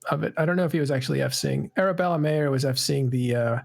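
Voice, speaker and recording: Gravelly man hosting a panel chat. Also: medium pitch, quick pace. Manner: rambling, self-correcting. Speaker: podcast host.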